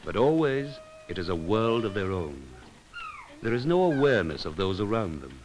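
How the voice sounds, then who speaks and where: A man reading scripted narration for an educational film, in a measured, solemn and poetic way with deliberate pauses. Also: fairly low pitch, very animated, noisy background. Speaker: documentary narrator.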